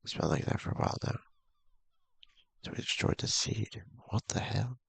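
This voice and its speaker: A man with a gravelly, husky voice casually reading a visual novel aloud, halting and mumbling with offhand asides. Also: a bit bright, no echo. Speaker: video game streamer.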